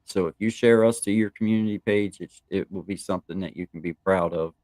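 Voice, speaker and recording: A man's voice: low-pitched, brisk, darkish tone, dry recording. A man co-hosting a live morning stream who talks in a friendly, rambling way and thanks viewers. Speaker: co-host of a live morning show. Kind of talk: casual live-stream chat.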